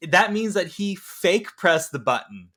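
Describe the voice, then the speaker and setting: A man's voice: high voice, lively intonation, a little slow, a bit bright. Casual man retelling scenes with gusto. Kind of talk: casual movie discussion podcast chat.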